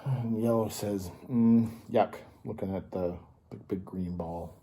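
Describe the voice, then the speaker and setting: Man reading to children, very mellow and soft-edged. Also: deep voice, husky. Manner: teacherly, pauses to ask the listener simple questions. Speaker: storyteller, host of a children's story time. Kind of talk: read-aloud picture book for children with explanatory asides and questions.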